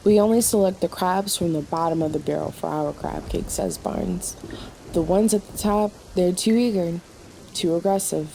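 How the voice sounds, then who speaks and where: A woman acting as a weary, sarcastic waitress in a radio play. Her voice sits a little low and her delivery is dry. Faint noise and rain sit behind her. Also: normal speed, vocal fry, mellow, poor audio. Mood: dry, formal. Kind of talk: audio drama acting.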